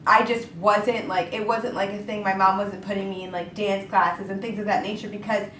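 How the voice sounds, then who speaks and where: A woman's voice: mid-range voice, fairly even intonation, moderate pace, fairly bright tone, recorded from a distance, a little hiss or hum. A young woman from Brooklyn, a singer-songwriter, chatting casually as an interview guest and filling her sentences with "like". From Brooklyn, New York, USA. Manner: chatty, fills every thought with "like". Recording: echoey room.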